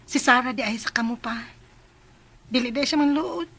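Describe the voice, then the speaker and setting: Radio drama lead: high-pitched Cebuano Filipino woman, smitten and clingy, pouty, pleading delivery. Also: moderate pace, fairly bright tone, slightly rough. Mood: sulky. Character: lovestruck, sulky, clingy woman pining for a man.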